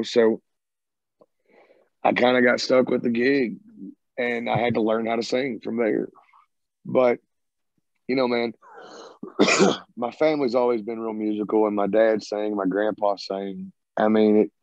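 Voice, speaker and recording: A man in his thirties with a Southern drawl, answering questions in a relaxed, rambling way and dropping "man" often. His voice sits a little low. The sound is dry and dull, like audio from a video call. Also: no room sound. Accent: Southern American. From American South. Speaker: rock band singer and guitarist. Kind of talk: casual interview answers. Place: home, by a sided wall. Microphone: video call, earbuds.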